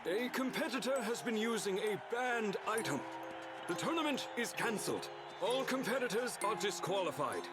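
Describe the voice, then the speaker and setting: High-pitched, officious tournament official. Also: male voice, big pitch swings, moderate pace. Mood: stern. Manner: formal, commanding announcements. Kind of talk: video game voice acting. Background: loud game music.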